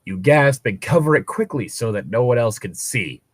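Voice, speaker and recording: A man's voice: medium pitch, animated, moderate pace, dry recording. A man reading a story aloud as a co-host, slipping into casual, sarcastic, mock-exasperated asides. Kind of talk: read-aloud narration with casual joking commentary.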